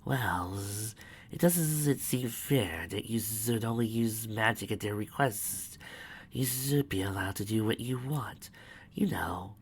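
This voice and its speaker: A man's voice: on the low side, slow pace. A man voicing a jealous, scheming female changeling who talks in a hissing whisper through her teeth. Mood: sly.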